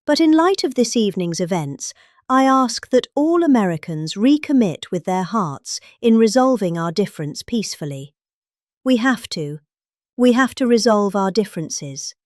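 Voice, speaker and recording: A woman's voice: medium pitch, a little mellow, no room sound, clean studio sound. A middle-aged woman with a British accent, giving a dramatized reading of a spoken statement. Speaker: reader of a political statement.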